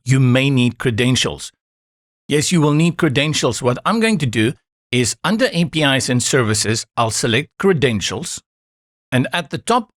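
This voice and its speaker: Calm man walking through a software tutorial. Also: medium pitch, no echo, clean studio sound. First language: Afrikaans. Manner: calm, instructive, reassuring asides. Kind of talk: screen-recorded step-by-step tutorial.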